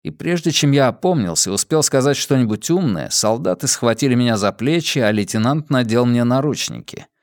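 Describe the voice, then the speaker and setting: A man narrates a novel in the first person. His voice sits at a medium pitch, and the recording is dry, with no room sound around it. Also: animated, a little fast, few pauses, a little mellow, dry recording. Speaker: audiobook narrator.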